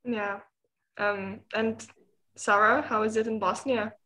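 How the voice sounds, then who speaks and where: A woman's voice: on the high side, steady tone, takes it slowly, sharp tone, low-quality recording. Young woman hosting a video call chat. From Lithuania. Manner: friendly, hesitant with many ums. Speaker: podcast host. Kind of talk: casual online group chat.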